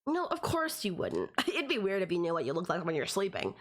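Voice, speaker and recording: A woman's voice: lowish voice, wildly swooping pitch. Girl voice acting a possessive young woman stalker for audio roleplay, teasing n smug, drags out words, kinda condescending but doting. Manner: conversational, teasing, condescending yet affectionate, with drawn-out words. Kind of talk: audio roleplay monologue addressed to the listener.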